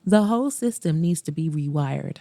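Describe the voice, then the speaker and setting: A woman's voice: sits low, expressive, normal speed, gravelly, warm tone, no room sound. A woman voicing a guarded, blunt female club owner with a dry manner in a romance audiobook. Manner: dry, reluctant.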